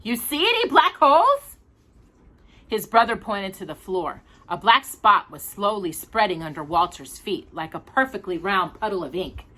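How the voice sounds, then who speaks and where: A woman reading a children's picture book aloud in expressive storytime narration. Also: on the low side, normal speed, few pauses, rough recording.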